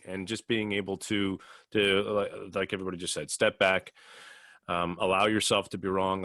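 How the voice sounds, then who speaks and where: A man's voice: low-pitched, fairly bright tone, dry recording. A man on a podcast panel, talking reflectively and earnestly, often repeating phrases as he finds his words. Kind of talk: casual, reflective discussion.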